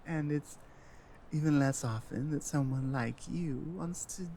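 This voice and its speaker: Casting: male actor for earnest, self-doubting Nordic companion in fantasy audio drama; higher-pitched male voice, unhurried, halting delivery. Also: gravelly, dark tone, a little hiss or hum. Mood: self-doubting, grateful. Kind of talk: roleplay audio drama monologue.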